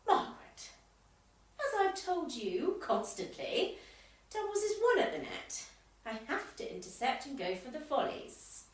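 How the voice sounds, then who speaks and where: A woman's voice: high voice, sing-song, a little slow. A woman playing a tart, sarcastic, competitive tennis club member in an amateur comedy radio play. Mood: indignant. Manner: dry, pointed, sarcastic. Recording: roomy, echoey, distant home recording.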